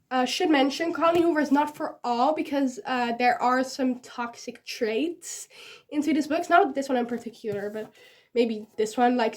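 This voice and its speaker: A young woman from the Netherlands with a Dutch accent, a native Dutch speaker chatting casually and giggly in a rambling, self-deprecating way as a book vlogger. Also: high-pitched. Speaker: book vlogger giving recommendations. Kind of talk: casual chatty vlog to camera.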